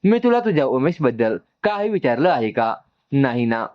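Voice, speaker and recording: A defiant, wounded young woman in a storybook family drama performed by a man, answering firmly and with exasperation. The pitch swings widely, on a slightly dull lo-fi recording. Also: very animated, moderate pace, close to an everyday voice. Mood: defiant irritated. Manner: curt, firm retorts.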